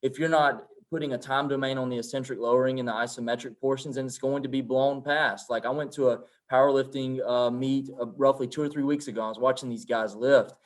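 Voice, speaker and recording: A man's voice: mid-range voice, steady tone, quick pace, hardly any pauses. A man who is a strength coach, speaking emphatically in a roundtable discussion and asking rhetorical questions.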